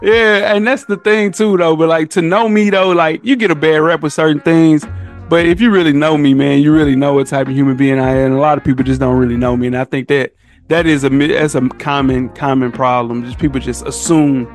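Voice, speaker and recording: A man's voice: a little high, steady tone, brisk, faint music behind. An American man chatting casually as a podcast guest, in slangy talk full of "man", "bro" and "you know". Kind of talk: casual chat.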